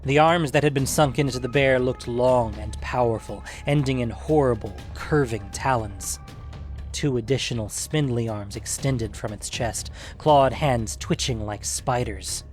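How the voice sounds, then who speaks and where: A man narrating a scripted fantasy story in a measured, suspenseful, dramatic audiobook manner. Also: medium pitch, faint music behind.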